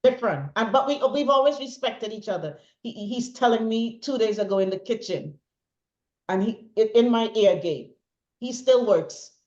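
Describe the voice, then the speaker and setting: A woman's voice: fairly high pitch, lively intonation, normal speed, darkish tone, lo-fi sound. A middle-aged woman speaking as an interview guest, giving personal testimony in a talkative, emphatic way and repeating phrases for stress. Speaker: interview guest, bereaved mother.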